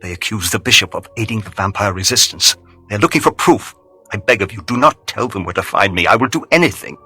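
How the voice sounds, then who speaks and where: An actor voicing a timid, servile butler in a video game, husky and pleading, with a nervous stammer. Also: male voice, fairly low pitch, expressive, quick pace, pushed and bright, faint music behind, heavily acted. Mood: pleading, frightened. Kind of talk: theatrical video game voice acting.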